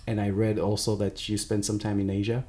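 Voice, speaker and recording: A man with a French accent hosting a podcast, talking in an animated, chatty way with frequent restarts. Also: fairly low pitch, a little fast. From France. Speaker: podcast host. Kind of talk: casual interview chat.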